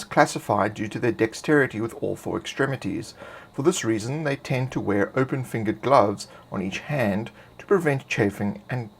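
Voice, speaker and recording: A man reading scripted fantasy game lore in a measured, expository way. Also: medium pitch, vocal fry, a bit bright. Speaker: narrator.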